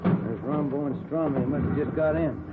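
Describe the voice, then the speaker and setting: A man's voice: fairly high pitch, fairly even intonation, moderate pace, close to an everyday voice. A man playing an easygoing, plain-spoken police detective sidekick, casual and laconic, in a 1950s radio crime drama. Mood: businesslike. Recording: noisy, muffled vintage radio recording.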